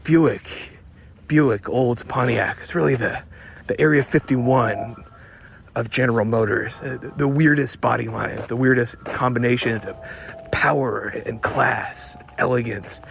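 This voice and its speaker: A man, a hot rod hobbyist, musing to himself in a personal audio diary with halting, wistful, repetitive phrasing. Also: mid-range voice, creaky, a little hiss or hum.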